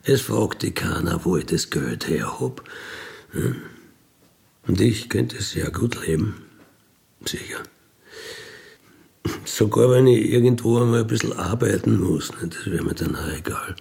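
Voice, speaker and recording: A wistful ex-robber muses in an intimate, naturalistic radio play monologue. He talks very slowly and haltingly, with a gravelly, creaky edge, often trailing into murmurs as he dreams of being free. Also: on the low side, animated, a little mellow, full character voice. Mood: dreamy, defiant. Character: wistful dying ex-robber dreaming of freedom in Siberia.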